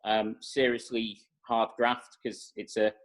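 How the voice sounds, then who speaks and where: A man's voice: fairly low pitch, level tone, slow pace. A man, answering interview questions steadily on a video call, with many fillers. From North Wales. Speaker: executive chef, guest.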